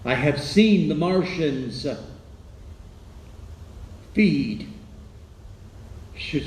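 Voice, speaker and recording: A weary, slow-spoken invasion survivor. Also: male voice, on the high side, darkish tone, reverberant, slight background noise, rough recording. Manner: halting, hesitant delivery with stammered repeats. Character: weary, frightened male survivor of an alien invasion. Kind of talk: dramatic first-person radio drama monologue.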